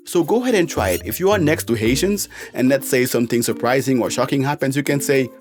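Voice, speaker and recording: A man's voice: mid-range voice, animated, fast. Upbeat, encouraging male language teacher. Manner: upbeat, encouraging, carefully spelled-out phrases. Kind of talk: beginner language lesson. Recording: dry, faint music behind.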